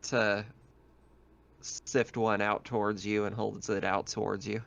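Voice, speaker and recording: A man's voice: lowish voice, little pitch movement, unhurried, gravelly, a bit bright. Casual man running a tabletop game. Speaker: game master.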